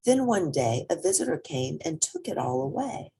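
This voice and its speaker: Woman, storybook read-aloud, mellow husky tone, gentle, expressive, slow-paced. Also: low voice, poor audio. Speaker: reader of a children's picture book.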